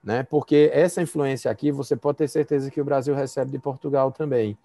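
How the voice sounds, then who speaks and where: A man's voice: medium pitch, fairly even intonation, brisk, mellow, no room sound. A Brazilian man, a law professor, giving a conversational university lecture and feedback over a video call, with frequent fillers and restarts.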